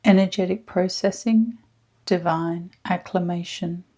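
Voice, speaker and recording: A woman leading a scripted guided meditation in a soft, at times breathy voice, with hushed, soothing, deliberate phrasing and frequent pauses. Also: lowish voice, steady tone. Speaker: guided meditation leader, energy healer.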